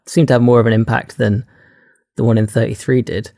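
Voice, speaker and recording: A man's voice: mid-range voice, little pitch movement, brisk, gravelly, dark tone, dry recording, studio quality. A man co-hosting a podcast, talking casually about films in a hesitant, tentative way. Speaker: podcast co-host. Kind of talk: casual film discussion.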